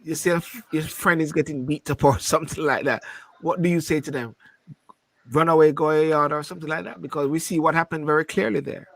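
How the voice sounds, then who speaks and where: A man's voice: fairly high pitch, steady tone, brisk, vocal fry, no room sound. A man from Jamaica, hosting a panel discussion and asking his guests questions, laughing easily. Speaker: host. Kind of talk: panel discussion on a call-in show.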